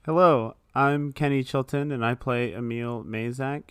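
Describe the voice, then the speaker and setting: A man's voice: medium pitch, steady tone, a little slow, clean tone, dry recording. Man in casual chat, dry close recording. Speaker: podcast host and player.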